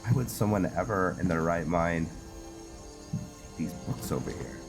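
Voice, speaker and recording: Slow, very deep-voiced man commentating on a game. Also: lively intonation, music in the background. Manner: musing, halting, talks to himself. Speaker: gameplay host narrating a roleplay playthrough. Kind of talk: casual game commentary, thinking aloud.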